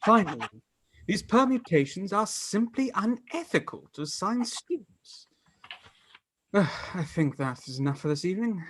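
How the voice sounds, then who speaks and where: A man acting in a radio play as a bookish, excitable young student with a theatrical, formal delivery. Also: high voice, normal speed, a little hiss or hum. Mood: weary, muttering.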